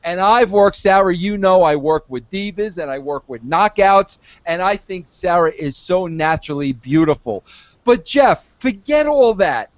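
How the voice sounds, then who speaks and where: A man's voice: high-pitched, lively intonation, a little slow, no room sound, lo-fi sound. A man in his fifties hosting a podcast, coaxing and pushy, stumbling over his words and repeating himself. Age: 50s. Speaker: podcast host. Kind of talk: casual podcast chat.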